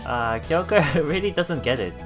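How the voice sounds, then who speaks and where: Female game streamer, extremely low pitch, lively delivery, reads story text aloud with casual playful commentary. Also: moderate pace, music in the background. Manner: playful, expressive. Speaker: let's play streamer.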